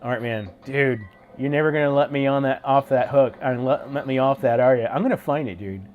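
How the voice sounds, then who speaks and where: A man's voice: mid-range voice, a little fast, narrow, tinny audio, slight background noise. A man with a head cold, sounding congested and sniffly, hosting a live stream in a laid-back, slangy way as he greets viewers by name.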